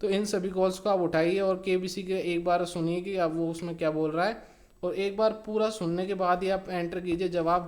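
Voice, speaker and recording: A man giving an informal step-by-step explainer as a tutorial host, repeating and stressing key instructions. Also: sits high. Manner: repetitive, step-by-step instructing with emphasis on key words.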